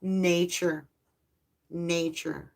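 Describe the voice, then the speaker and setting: A woman hosting a live tarot stream, talking softly and affectionately to her viewers, her voice slightly hoarse and tired. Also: lowish voice, level tone. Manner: soft, slow, spiritual and affectionate, addressing viewers as lovelies. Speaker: tarot reader and channel host.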